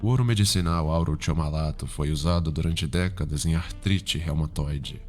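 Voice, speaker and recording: A man's voice: extremely low pitch, normal speed, darkish tone, soft background music. A man playing a refined, sinister, erudite serial killer in a Brazilian Portuguese ASMR roleplay, speaking pompously and precisely, savoring his words. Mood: clinical, savoring.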